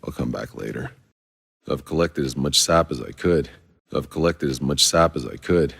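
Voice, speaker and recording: A very low, sardonic game soldier. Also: male voice, normal speed, vocal fry, fairly bright tone, breathy edge, no room sound, full character voice. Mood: curt, guarded. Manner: terse, dry, sardonic. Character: gruff, sardonic, battle-hardened male soldier hero. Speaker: video game voice actor. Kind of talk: video game character voice lines.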